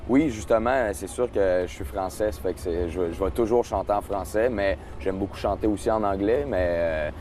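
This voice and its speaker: A man's voice: lowish voice, moderate pace, hardly any pauses, a little mellow, slight background noise. A young man with a Quebec French accent, a singer giving an interview outdoors at a festival, hesitant and full of fillers and restarts. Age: young adult. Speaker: singer guest. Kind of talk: casual interview.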